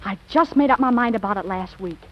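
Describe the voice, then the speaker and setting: A woman's voice: medium pitch, a little fast, lightly acted. A woman playing a dramatic, stubborn fifteen-year-old girl with a petulant, slangy way of talking in an old radio comedy. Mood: dreamy, melodramatic. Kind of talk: 1940s radio comedy acting. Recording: dull old radio broadcast recording.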